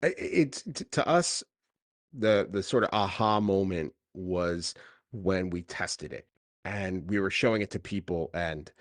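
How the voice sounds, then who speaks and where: Very deep-voiced man, halting interview talk. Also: no echo, studio quality. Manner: hesitant, halting delivery with many filler phrases. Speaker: tech founder being interviewed.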